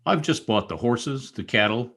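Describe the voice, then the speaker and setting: A man's voice: medium pitch, expressive, moderate pace, fairly bright tone, husky. A man playing a bitter, commanding former stable boy turned rich, sardonic, in old-time radio drama style.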